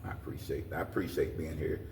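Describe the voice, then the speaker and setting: An older American man giving an informal interview, talking in a halting, folksy way with repeated phrases. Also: extremely low pitch. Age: 60s or older. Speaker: interview guest, community leader. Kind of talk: informal talk-show interview. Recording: roomy echo, distant mic, faint noise.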